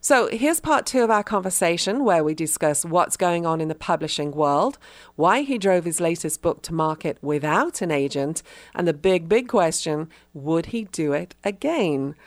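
A woman's voice: lowish voice, expressive, normal speed. A woman presenting a broadcast interview as the host, introducing her guest and putting questions to him. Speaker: radio talk show host, interviewer.

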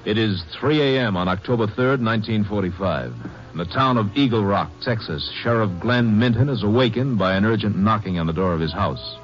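Male narrator for vintage radio drama, formal and dramatic, animated delivery, muffled old recording. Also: on the low side, a little fast, soft background music. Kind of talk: scripted 1950s radio narration, documentary-style.

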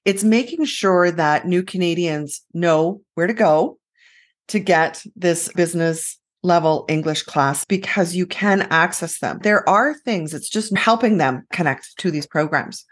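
Woman, slightly low pitch, confident, emphatic, recruiting podcast co-host. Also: measured pace. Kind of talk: casual conversation about recruiting.

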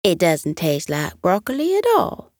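A woman voicing a gentle, dreamy female cat in a children's bedtime story. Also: on the low side, sing-song, unhurried, no room sound, clean studio sound. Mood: disagreeing.

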